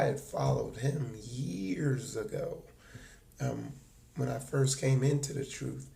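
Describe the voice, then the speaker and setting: Raspy, gravelly-voiced man chatting casually. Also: mid-range voice, a little mellow. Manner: rambling, halting, chatty and earnest. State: just woke up, voice hoarse. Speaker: livestream host. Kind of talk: casual livestream chat.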